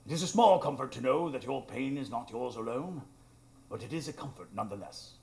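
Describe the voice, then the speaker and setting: A man playing an exasperated gentleman narrator in a radio drama, with dry, formal storytelling. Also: medium pitch, expressive, brisk, close to an everyday voice. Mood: solemn narration.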